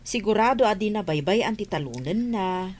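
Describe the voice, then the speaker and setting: A woman's voice: medium pitch, lively intonation, moderate pace, a little mellow, natural voice. A woman playing a village farm wife in a comedy radio drama, with an Ilocano accent. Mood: chatty.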